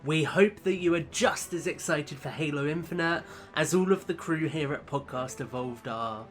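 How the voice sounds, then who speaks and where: A man's voice: fairly high pitch, a bit bright. A man speaking as a show's host, giving an earnest, grateful message to listeners. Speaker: show creator and host. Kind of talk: sincere thank-you message to listeners.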